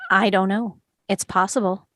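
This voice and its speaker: A thoughtful, doubting male juror read by a woman in an everyday mid-pitched voice. The delivery is reflective and reasoned, recorded dry, as an amateur screenplay reading. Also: fairly even intonation, normal speed, fairly bright tone, no echo, natural voice. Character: quiet, thoughtful, doubting man on a jury.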